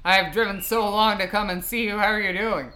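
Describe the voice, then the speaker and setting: A man's voice: high-pitched, fairly even intonation, fairly quick, sharp tone, rough recording. A man playing an evasive, chummy old police partner in an improvised noir audio play, coaxing and noncommittal. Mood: breezy, chummy. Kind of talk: improvised film noir audio drama acting.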